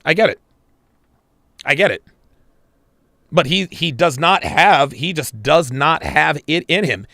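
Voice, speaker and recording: A man's voice: fairly high pitch, lively intonation, unhurried, long pauses, bright, forceful tone, dry recording, studio quality. A man hosting a live reaction stream, blunt and crude, swearing and talking to his chat viewers. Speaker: podcast host reacting to a clip.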